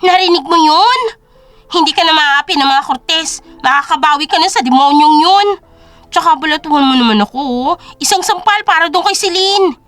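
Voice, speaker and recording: A woman narrator reading a scripted story in a dramatic storytelling style. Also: high-pitched.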